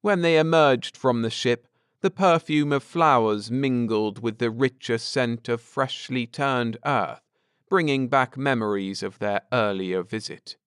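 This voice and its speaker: A man reading a bedtime story aloud in a measured, expressive storytelling manner. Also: medium pitch, clear, resonant tone, no room sound, clean studio sound. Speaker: audiobook narrator. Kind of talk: scripted bedtime story narration, read aloud.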